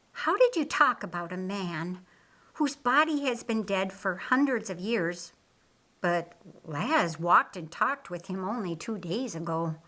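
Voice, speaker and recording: A woman voicing an earnest, flustered storybook woman who stumbles haltingly over her words. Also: mid-range voice, bright, forceful tone.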